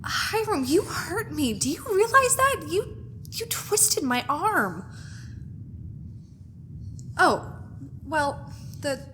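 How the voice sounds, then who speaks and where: A woman's voice: sits high, bright, forceful tone, slight background noise, heavily acted. A woman playing a naive, romantic new bride in old-fashioned radio melodrama, genteel and given to dramatic hesitations. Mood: hurt, wistful.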